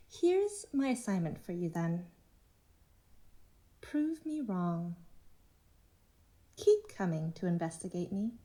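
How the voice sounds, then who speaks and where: A woman acting a manipulative cult leader in an audio drama, speaking in a measured, condescending, therapist-like way. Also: mid-range voice, mellow. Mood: smug, coaxing. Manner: measured, patronising, therapist-like phrasing.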